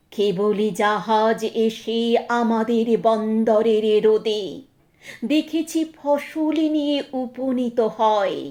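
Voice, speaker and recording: A woman recites poetry in a solemn, measured and expressive way, pausing between short phrases. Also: sits high.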